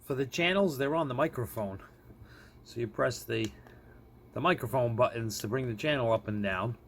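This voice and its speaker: A man's voice: mid-range voice, normal speed, a bit bright. A man giving a casual hands-on gadget demo, informal and often chuckling as he thinks aloud, recorded indoors with background noise. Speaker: product reviewer. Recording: clearly noisy room recording.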